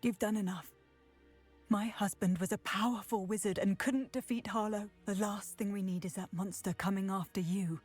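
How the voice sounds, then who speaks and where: A woman's voice: mid-range voice, fairly even intonation, moderate pace, a little mellow, husky, lightly acted. A woman playing a middle-aged widow and anxious mother in a video game, sorrowful and anxious. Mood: sorrowful, weary. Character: grief-stricken widowed mother, anxious, gentle villager witch. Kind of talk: video game character dialogue. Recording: music behind the voice.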